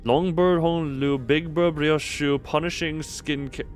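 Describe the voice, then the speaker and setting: A man's voice: a little high, steady tone, a little slow. A man live streaming a game, chatting casually, reading dialogue aloud and breaking off into asides to chat. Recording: game music behind the voice.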